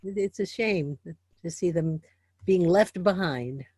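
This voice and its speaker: A woman's voice: lowish voice, measured pace, darkish tone. A woman professor chatting with a student, giving short agreeing replies. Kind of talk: casual conversation.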